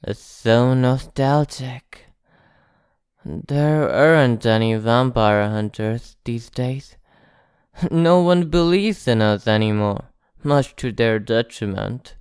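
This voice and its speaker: A man playing an ancient, possessive vampire in an ASMR roleplay, speaking in a theatrical, condescending, teasing and softly threatening way. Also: medium pitch, slow, clean tone, dry recording, clean studio sound. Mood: wistful, teasing.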